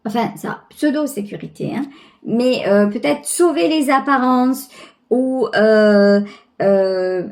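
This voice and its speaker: High-pitched, slow-paced woman reading tarot. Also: a little mellow. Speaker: tarot reader, channel host. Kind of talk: intuitive tarot reading, spoken directly to the viewer.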